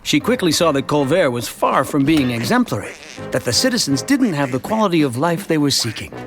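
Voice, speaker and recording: A man playing a chatty, wry middle-aged suburban family man who narrates his town's story in an animated short. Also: on the high side, fairly quick. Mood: storytelling. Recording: music behind the voice. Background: music.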